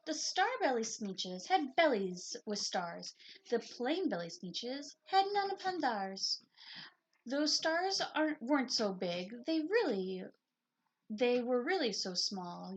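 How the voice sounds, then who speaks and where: A woman reads a children's picture book aloud as narrator. Her voice sits fairly high and moves up and down with very lively, expressive intonation. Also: takes it slowly, slight background noise.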